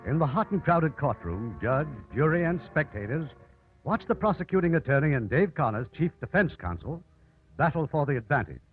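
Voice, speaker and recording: A man's voice: fairly high pitch, sing-song, moderate pace, faint music behind. A man narrating a 1950s radio drama from a script. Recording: muffled old 1950s radio broadcast.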